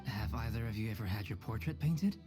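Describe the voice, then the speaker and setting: A man playing a calm, modest, courteous painter in a video game, with a polite, reserved manner. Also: on the low side, brisk, over music, lightly acted.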